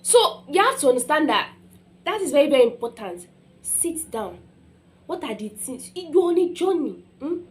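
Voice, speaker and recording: High-voiced Nigerian woman giving a motivational talk. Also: moderate pace. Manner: emphatic and animated, acting out quotes, often checking in with listeners. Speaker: motivational speaker. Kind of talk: informal motivational talk with personal stories.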